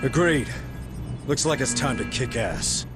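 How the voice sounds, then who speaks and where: An actor playing a gruff, weary, middle-aged space marine sergeant in a video game, blunt and sardonic. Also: male voice, mid-range voice, measured pace, over loud music, close to an everyday voice. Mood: resolute. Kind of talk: dramatic game cutscene acting.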